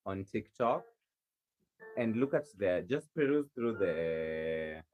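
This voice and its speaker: A man's voice: sits low, animated, slow pace, a little mellow, highs cut off, soft background music. A man from Kenya, a rug maker chatting casually on a livestream and answering viewers' questions with a helpful, rambling manner. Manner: chatty, helpful, lots of restarts.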